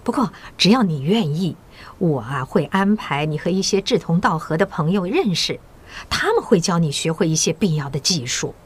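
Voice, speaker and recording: An actress playing a middle-aged female school principal who secretly recruits agents, speaking in a measured, coaxing and kindly authoritative way in an audio drama. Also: lowish voice, very animated, breathy edge. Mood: earnest persuasion. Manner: measured, coaxing, elder's patient tone.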